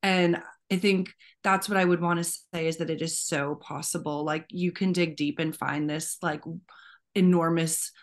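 A woman's voice: sits low, steady tone, normal speed. A woman in her thirties, an author on a panel, talking earnestly and with energy over a video call from home. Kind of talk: casual panel talk. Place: home room.

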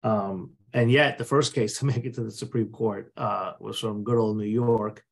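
A man's voice: fairly low pitch, steady tone, normal speed, gravelly. A man from the Dominican Republic speaking conversationally on a video call panel, with many fillers and restarts. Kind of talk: informal commentary in a panel.

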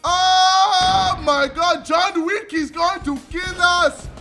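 Un homme qui prend une voix aiguë à l'accent ougandais factice, un joueur bruyant qui chantonne et provoque ses adversaires. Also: male voice, very high voice, steady tone, drawn-out words, full character voice. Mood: panicked. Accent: put-on Ugandan. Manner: sing-song, squealing, trash-talking. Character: high-voiced foreign-accented man, goofy and brash. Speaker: streamer playing a shooter game. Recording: loud game music behind voice. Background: game music.

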